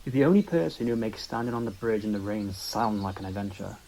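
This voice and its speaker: An uneasy young male companion, played by a man in an audio drama. The voice is dark and mellow, questioning and hesitant, with faint background noise. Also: lowish voice, animated, fast talker, gravelly, low-quality recording. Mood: uneasy, curious. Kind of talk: fan audio drama acting.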